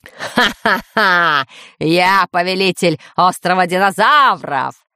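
A woman voicing a booming, grandiose masked villain who rules an island, with imperious, drawn-out speech, in a children's storybook. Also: lively intonation, bright, forceful tone, clear, resonant tone, no echo, clean studio sound, full character voice. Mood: boastful. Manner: thundering, drawn-out, imperious delivery. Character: booming masked villain, self-proclaimed lord of dinosaur island, grandiose, menacing.

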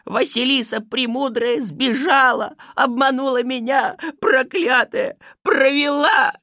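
A woman's voice: high-pitched, fairly even intonation, takes it slowly, pushed and bright, no echo, studio quality, voice pushed up, full character voice. A woman voicing a cowardly, insolent male scribe with a whiny, wheedling manner in a storybook reading. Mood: wailing.

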